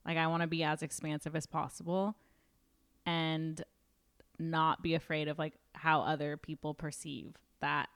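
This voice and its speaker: A woman in her twenties co-hosts a podcast in a relaxed, reflective chat, leaning on "like" as she thinks aloud. Her voice is smooth and clear, sitting a little low for a woman. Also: a little slow. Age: 20s. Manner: reflective, says "like" often. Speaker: podcast co-host. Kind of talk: casual chat.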